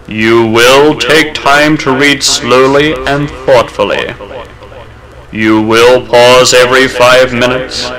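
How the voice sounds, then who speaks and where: Very slow, flat-voiced male hypnotist. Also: medium pitch, low-quality recording. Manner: slow soothing countdown, repetitive and hypnotic; commanding suggestions. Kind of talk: hypnosis induction from an old record. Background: music playing under the voice.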